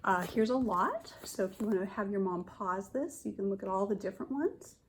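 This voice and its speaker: A woman kindergarten teacher reads a picture book aloud to young children, pausing to explain and point things out in simple words. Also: medium pitch, animated, slight background noise, poor audio.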